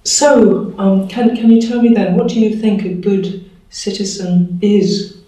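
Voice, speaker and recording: A man interviewing a guest, asking measured questions and often murmuring agreement. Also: high voice, fairly even intonation, mellow. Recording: dull, echoey, far from the mic.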